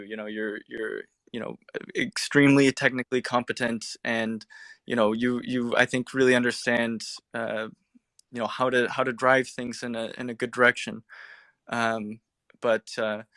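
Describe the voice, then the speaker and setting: A man's voice: mid-range voice, fairly bright tone, no room sound. A man politely asking a question in a casual live online discussion, tentative and meandering as he gets to it. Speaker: audience speaker asking a question. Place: live online audio room.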